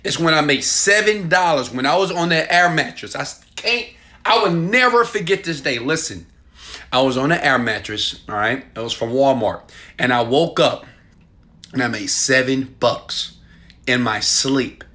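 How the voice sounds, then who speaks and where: Bright, forceful man selling with motivational zeal. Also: medium pitch, expressive, normal speed. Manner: emphatic, insistent, speaking directly to viewer. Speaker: online marketer pitching a program. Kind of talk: motivational sales pitch to camera.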